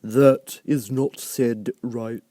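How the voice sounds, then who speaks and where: Languid, drawling, contemptuous caterpillar. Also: male voice, mid-range voice, steady tone, mellow, dry recording, clean studio sound. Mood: stern, curt. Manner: very slow, drawn-out, curt delivery. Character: languid, sleepy, stern, contemptuous hookah-smoking caterpillar.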